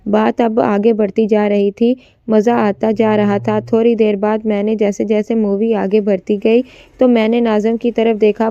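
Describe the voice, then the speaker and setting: A woman's voice: medium pitch, warm tone, no echo, lo-fi sound. A woman narrating a scripted first-person story, occasionally stumbling as she reads.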